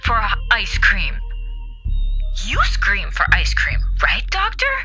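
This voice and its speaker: A menacing female commander in a sci-fi radio drama. Her voice is piercing and swings wildly in pitch. She draws her words out very slowly, taunting and unhinged. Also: huge pitch swings, pushed and bright, faint music behind, exaggerated voice. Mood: manic, menacing. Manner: slow, deliberate, theatrical taunting.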